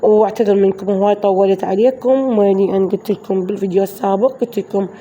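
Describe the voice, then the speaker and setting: A woman with an Iraqi Arabic accent, hoarse from a flu, chatting through a voice-over of her daily home routine for her viewers. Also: mid-range voice, fairly even intonation, quick pace, mellow, slight background noise. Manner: chatty, addresses viewers as girls. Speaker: vlogger.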